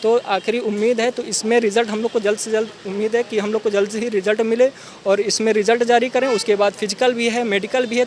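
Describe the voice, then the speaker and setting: A man, speaking earnestly and pleadingly as a job candidate in a street interview. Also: sits high. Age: late 20s. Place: outdoors by a city street. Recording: outdoor street noise, traffic.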